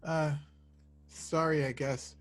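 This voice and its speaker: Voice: mid-range voice, steady tone, takes it slowly, close to an everyday voice. An actor playing an awkward, sardonic young slacker hero in a casual, wry table read. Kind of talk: amateur screenplay table read.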